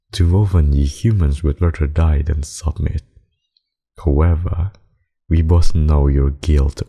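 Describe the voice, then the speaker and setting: A man voicing a suave, possessive, menacing vampire in an ASMR roleplay, with formal, courtly, seductive speech and a gravelly, husky voice. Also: extremely low pitch, mellow, full character voice. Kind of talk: ASMR roleplay, dramatic monologue.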